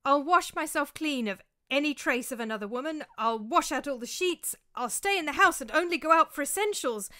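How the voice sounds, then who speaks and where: A woman's voice: high voice, animated, a little fast, hardly any pauses, pushed and bright, pitched above the performer's own voice. A woman voicing a desperate Roman man who wails and pleads with his lover. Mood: pleading. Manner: wailing, pleading.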